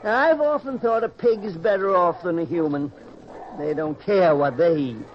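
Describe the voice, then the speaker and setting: An actor playing a world-weary old pig tender, wry and folksy, in the style of an old-time radio drama. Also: male voice, high-pitched, moderate pace, a little hiss or hum, natural voice. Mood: wry, resigned. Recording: dull, lo-fi radio-style recording.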